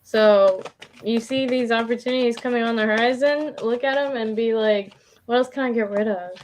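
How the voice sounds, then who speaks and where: A woman's voice: fairly high pitch, steady tone, moderate pace, a little mellow, a little hiss or hum. A woman doing a casual live tarot reading as a guest, chatty and informal, feeling her way with plenty of "like". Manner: informal, chatty, hesitant phrasing with frequent "like".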